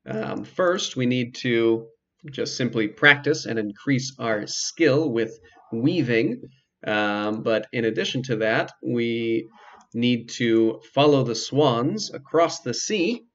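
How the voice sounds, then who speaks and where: A man giving casual, explanatory let's play commentary, with hesitant pauses as he goes. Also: mid-range voice, lively intonation, lo-fi sound. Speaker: video game player and commentator.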